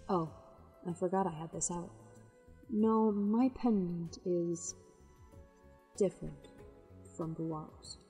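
A woman voicing a solemn, world-weary female wind demon in a fan radio play, grave and measured. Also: on the low side, mellow, soft background music. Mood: explaining.

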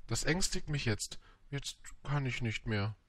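Man as a shy little prince, raised pitch. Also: sing-song, moderate pace, fairly bright tone, no echo. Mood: confused, timid. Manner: timid, questioning, courteous. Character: shy, polite, puzzled little prince, a boy.

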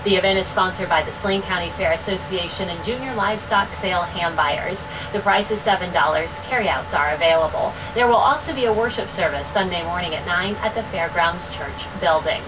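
A young woman hosting a local radio station's daily fair update, reading results and schedules in a steady, upbeat scripted way. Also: fairly low pitch, a little fast, hardly any pauses. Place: studio with dark backdrop. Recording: distant mic with noticeable hiss.

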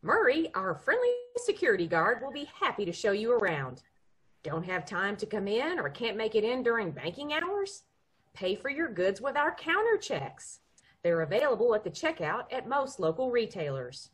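Animated, husky radio commercial spokeswoman acted by a woman. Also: on the high side, moderate pace. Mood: reassuring, salesy. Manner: salesy, folksy. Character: folksy, reassuring bank sponsor spokeswoman. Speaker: commercial announcer. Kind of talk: old-time radio commercial read. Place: lamp-lit living room at home. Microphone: video call.

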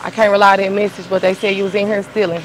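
A woman's voice: mid-range voice, flat delivery, racing. A young American woman talking casually as a guest prankster on location. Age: young adult. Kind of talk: casual chat and prank confrontation. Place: outdoor lot at night, large store aisle. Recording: noisy parking lot and store.

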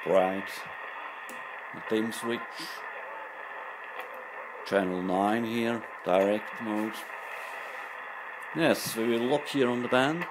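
A man informally demonstrating equipment in short, halting phrases. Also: on the low side. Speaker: radio collector and presenter. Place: indoors at a desk. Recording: noisy room with radio static.

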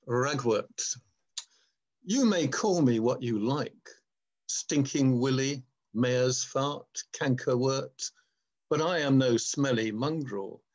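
An older man presents his poetry at an online reading. His voice is mid-pitched and he takes his time, speaking slowly and with measured care. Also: a little mellow. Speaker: poet. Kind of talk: introducing and reading own poem at an online poetry event.

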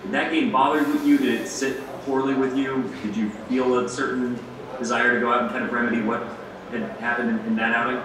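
A man's voice: mid-range voice, little pitch movement, fairly quick, a crowd in the background. A male sports reporter asking a press conference question, restarting and rephrasing as he searches for the words. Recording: distant echoey room with background noise.

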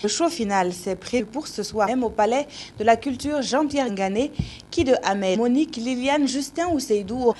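A middle-aged woman reading the news as studio anchor, in a steady, measured way. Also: fairly high pitch, slight background noise. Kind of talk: read news presentation.

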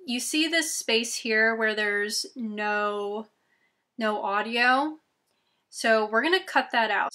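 A young woman with a bright, slightly high voice, talking slowly and carefully as she explains each step. She is losing her voice and adds chatty asides between instructions. Recorded on a camera mic in a small bedroom. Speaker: YouTube tutorial host. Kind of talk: screen-recorded software tutorial.

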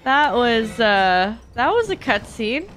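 Animated young woman streaming a game. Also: fairly high pitch, takes it slowly, faint music behind. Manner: reacts loudly, swears casually. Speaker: livestream host and speedrunner. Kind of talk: casual gaming stream commentary. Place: indoors, gaming room at home.

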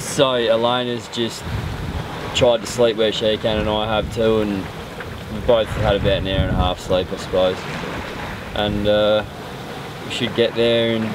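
A man casually describing a night sail from the deck, with relaxed pauses. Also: mid-range voice. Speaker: sailing vlogger. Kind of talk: casual on-watch commentary. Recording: noisy boat recording, wind and water.

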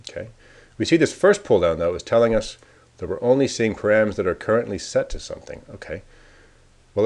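A man casually talking through a software demo, thinking aloud as he clicks around the screen. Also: low voice. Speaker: software developer demoing a tool. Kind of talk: screencast walkthrough.

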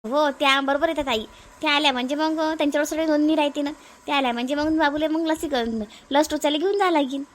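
A woman's voice: high voice, fairly even intonation, fast talker, natural voice. A woman voicing a compliant, chatty young mother in a family cartoon, explaining things in a Varhadi Marathi accent. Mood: reassuring.

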